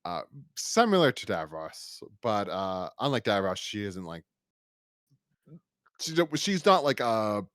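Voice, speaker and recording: Low-voiced man rambling through a casual review. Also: big pitch swings, fairly bright tone, no room sound, clean studio sound. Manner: rambling, halting with frequent false starts and repeated words. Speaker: podcast co-host. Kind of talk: casual review discussion of an audio drama.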